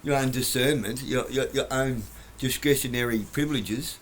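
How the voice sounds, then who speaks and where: A man's voice: medium pitch, normal speed, slight background noise, poor audio. A man lecturing bluntly from his couch in a rambling monologue, breaking off words and laughing at himself. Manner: lecturing, blunt, rambling, trails off mid-word. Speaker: vlogger. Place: home, on a couch.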